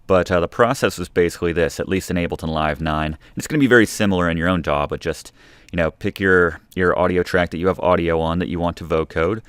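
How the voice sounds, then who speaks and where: A man's voice: sits low, fairly even intonation, fast talker, a bit bright, clean tone, no room sound. A man narrating a music production tutorial in a casual, informal, explanatory way. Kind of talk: casual instructional screen-recording narration.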